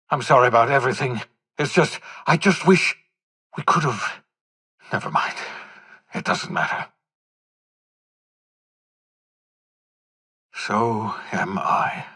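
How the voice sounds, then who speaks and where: An actor playing an eccentric, embittered old scientist rebel in a video game, with a raspy voice and a grandiloquent, melodramatic way of talking. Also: male voice, mid-range voice, pushed and bright. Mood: sorrowful, resigned. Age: older. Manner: grandiloquent, wordy, scornful and melodramatic. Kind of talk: theatrical video game character acting.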